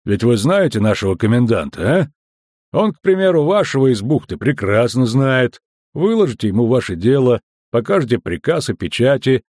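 A man voicing a sly, unhurried customs officer who speaks slowly and clearly, with folksy mockery, in an audiobook. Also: medium pitch, very animated, a little mellow, no echo, clean studio sound. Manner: slow, clear, drawling, mockingly folksy.

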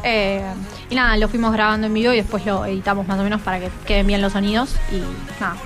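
A woman's voice: medium pitch, flat delivery, fast, music in the background. A teenage female student with an Argentine accent, casually explaining as a radio guest. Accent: Argentine, Rioplatense. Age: teens.

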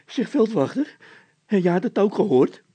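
A man's voice: high voice, sing-song, unhurried, mellow, husky, voice pushed up, exaggerated voice. A man voicing a whiny, jittery workman in a children's audiobook, pushy, easily frightened and full of exclamations. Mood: agitated. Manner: insistent, exclamatory.

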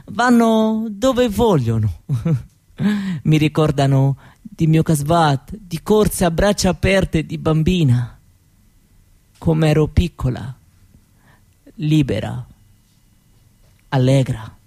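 A woman playing an old Roma grandmother in a radio monologue, gentle and wistful, speaking halting broken Italian with a Romani accent in short phrases with pauses. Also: sits low, lively intonation, no echo, exaggerated voice. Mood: wistful longing. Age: older.

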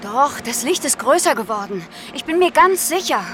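Troubled stubborn actress, middle-aged, radio crime drama, halting natural delivery, music underneath. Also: female voice, high voice, animated, fairly bright tone. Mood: urgent, wistful. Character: troubled actress Ellie, haunted by family loss, stubbornly self-reliant. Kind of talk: German radio play acting.

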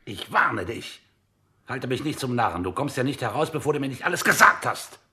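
An actor playing a harsh, impatient military captain in a radio drama, commanding and sardonic as an interrogator. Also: male voice, big pitch swings, brisk, pushed and bright, slight background noise. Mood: aggressive, demanding. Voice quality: low, husky.

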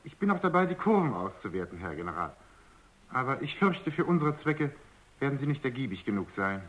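An actor playing a skeptical, sardonic research scientist in a radio drama, wry and argumentative. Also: male voice, mid-range voice, big pitch swings, fairly quick, lightly acted. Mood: wry, concerned. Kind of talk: German radio drama acting. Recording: muffled, dull radio drama recording.

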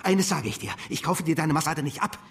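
A man's voice: on the high side, lively intonation, a little fast, vocal fry. An actor playing a cold, mocking, manipulative male stranger in a German radio drama, taunting and pressing. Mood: furious, scornful. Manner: taunting, interrogating, swings between hushed and explosive.

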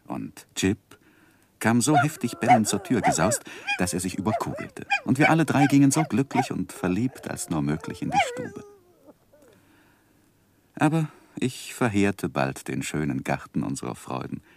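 An earnest young man in a vintage radio drama, his voice low and very expressive. He speaks tenderly, in careful literary phrasing. The recording is close and dry. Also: breathy edge, dry recording, heavily acted. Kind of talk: 1960s German radio drama narration and acting.

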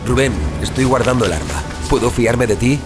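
A man's voice: medium pitch, lively intonation, moderate pace, natural voice. A man with an accent from Spain playing a desperate, protective, doom-obsessed brother, urgent and pleading, in an audio drama. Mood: grim, tense. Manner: urgent, pleading, foul-mouthed. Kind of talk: Spanish audio fiction acting. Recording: dramatic music bed under the voice.

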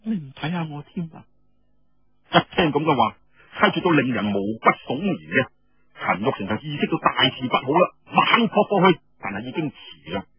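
Man, Cantonese accent, dramatic storytelling, very husky, higher-pitched, swooping delivery, lo-fi recording. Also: sing-song, brisk. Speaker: storyteller, audiobook narrator. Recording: dull lo-fi radio sound with room echo.

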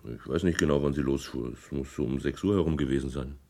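An actor playing a plain, hesitant male ship's crewman who answers haltingly as a witness in a radio drama. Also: a bass voice, vocal fry, darkish tone, full character voice. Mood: uncertain. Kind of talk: 1980s radio drama acting.

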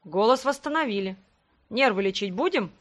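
A woman's voice: a little high, animated, normal speed, natural voice. A woman voicing a polite, laconic male elf healer in a fantasy audiobook, businesslike in manner. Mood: calm, businesslike.

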